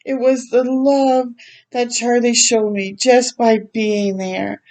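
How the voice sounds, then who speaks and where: A woman hosting a children's storytime, reading a picture book aloud in a gentle, expressive way with tender asides to listeners. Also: a little high, slow pace. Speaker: children's read-aloud host.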